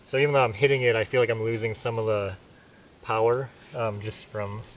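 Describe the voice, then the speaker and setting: A man's voice: fairly low pitch, steady tone, brisk. A man testing camping hammers outdoors, explaining plainly and thinking aloud as he works. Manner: plain, matter-of-fact, thinking aloud while working. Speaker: gear reviewer. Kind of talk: casual product test. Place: outdoors on open dirt ground in pine woods. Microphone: camera mic at a distance. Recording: distant outdoor camera audio with faint noise.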